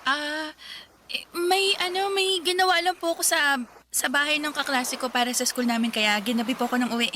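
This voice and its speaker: A woman's voice: high-pitched, steady tone, a little fast, bright, forceful tone, slight background noise. An actress playing a rebellious, defensive teenage schoolgirl in a Filipino radio drama, with a Filipino accent. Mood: nervous. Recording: music behind the voice.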